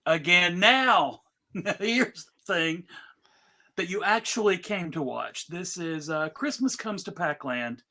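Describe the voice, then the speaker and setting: A man with a bright, forceful voice emcees a casual comic show. He takes his time, addressing the audience in a wry, mock-formal way. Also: high voice, animated, takes it slowly. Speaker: host of a live panel show.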